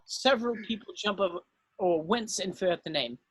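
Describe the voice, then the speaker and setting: A woman's voice: mid-range voice, lively intonation, moderate pace, slightly rough, dry recording, rough recording. A woman narrating stage directions from a script.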